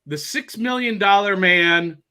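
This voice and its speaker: A man's voice: sits high, measured pace, bright, forceful tone, clean tone. A man co-hosting a casual chat over a video call, talking eagerly and rambling about things he found. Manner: enthusiastic, rambling, showing off finds. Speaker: co-host.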